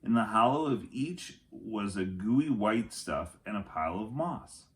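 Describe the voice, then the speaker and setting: Animated, low-voiced male storyteller. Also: measured pace. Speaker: read-aloud storyteller. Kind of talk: expressive children's chapter book narration.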